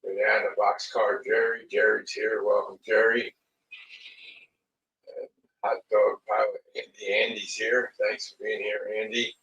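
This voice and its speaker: A man with a slightly raspy voice hosting a casual livestream in a halting, unhurried manner. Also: lowish voice, flat delivery, fairly bright tone. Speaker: livestream host. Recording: muffled lo-fi audio, distant mic.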